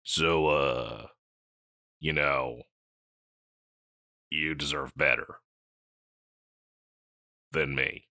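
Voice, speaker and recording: A man playing a scruffy, insecure slacker catboy pet in a comedic audio roleplay, with a halting, mumbly dude drawl. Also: very deep voice, steady tone, creaky, sharp tone, no echo, clean studio sound, heavily acted. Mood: self-deprecating, resigned.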